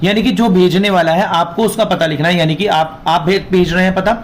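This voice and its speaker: A man's voice: sits high, steady tone, a little fast, slight background noise. A man with a sore throat, teaching an informal exam lesson, explaining and repeating key points. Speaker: law teacher running an online class. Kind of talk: informal explanatory exam lesson, Hindi mixed with English terms.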